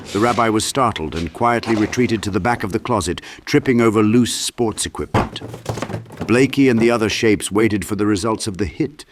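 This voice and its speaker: A man's voice: low-pitched, noisy background. A man reading a horror audiobook as a narrator, in a theatrical, intense storytelling manner. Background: sound effects with clicks.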